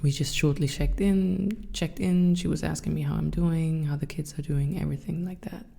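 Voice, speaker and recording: A woman in her thirties with a German accent, hosting her own podcast and speaking softly and thoughtfully with some hesitant fillers. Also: deep voice, steady tone, normal speed, creaky, husky. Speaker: podcast host. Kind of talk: reflective solo podcast talk.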